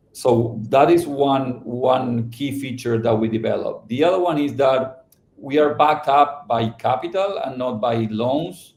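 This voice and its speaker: A middle-aged man from Argentina, answering podcast interview questions about his company, halting and restarting often. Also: medium pitch, a little mellow, roomy sound. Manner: halting, with many restarts and fillers. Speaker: company founder and CEO.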